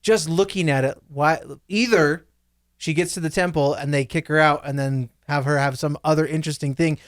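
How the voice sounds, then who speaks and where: Casual podcast panelist, male, higher-than-average pitch, informal film chat. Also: steady tone, moderate pace. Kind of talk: casual chat about movies.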